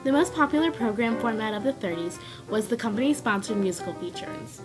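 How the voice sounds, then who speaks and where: A woman's voice: fairly high pitch, animated, a little fast, over music, low-quality recording. A woman reading scripted educational narration.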